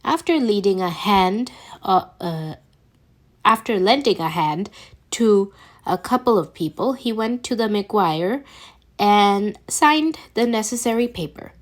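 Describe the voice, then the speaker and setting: Female amateur audiobook-style reader for fan fiction; unhurried, medium pitch, stumbles and restarts. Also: expressive. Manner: halting, stumbles and corrects herself. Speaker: podfic reader.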